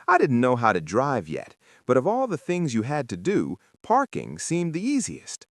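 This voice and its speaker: A man narrates a children's story as if it happened to him. His delivery is expressive and animated, with pitch that swings widely around an average male register. The sound is dry, with little room in it. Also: gravelly, no echo. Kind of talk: scripted first-person children's audiobook narration.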